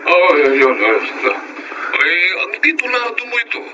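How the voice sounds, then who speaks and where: A man's voice: high voice, very animated, unhurried. A middle-aged Filipino uncle wheedling over the phone. His voice swoops wildly and repeats itself, in a radio drama with noise on the line. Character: pushy middle-aged uncle asking for money. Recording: noisy distant phone call.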